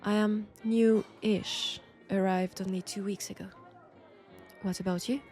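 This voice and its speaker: A woman performs a flirtatious, mysterious Frenchwoman from a Second World War roleplay. She has a French accent and takes her time, coy and conversational, pausing between thoughts. Soft music plays faintly behind her intimate delivery. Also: medium pitch, flat delivery, close to an everyday voice. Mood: curious, teasing. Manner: unhurried, coy, conversational with pauses. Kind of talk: intimate roleplay audio drama.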